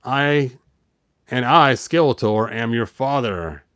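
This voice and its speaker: A man's voice: mid-range voice, slow, a bit bright, smooth, no room sound, clean studio sound. A man voicing a sly, deceitful skull-faced sorcerer in a storybook, with a taunting, dramatic manner. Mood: lying, dramatic.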